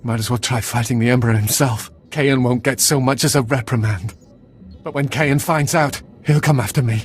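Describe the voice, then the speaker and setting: An actor playing a terrified, cowering Sith acolyte held prisoner in a video game, nervous, stammering and pleading. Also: male voice, medium pitch, fairly even intonation, normal speed, soft background music, lightly acted. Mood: resigned, bitter.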